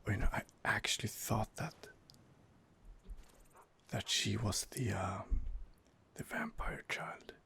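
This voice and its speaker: A man giving soft, hesitant, whispered ASMR commentary over gameplay into a close home microphone, pausing often on fillers. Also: on the low side, expressive, creaky. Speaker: let's play host.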